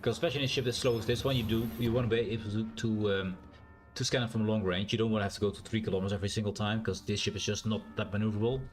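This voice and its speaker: A man with a naturally loud voice and a strained, sore throat, thinking aloud in a rambling way as he streams a game. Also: fairly low pitch, fairly even intonation, rapid-fire, soft background music. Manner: rambling, thinks aloud with fillers and self-corrections. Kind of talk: casual live-stream gameplay commentary.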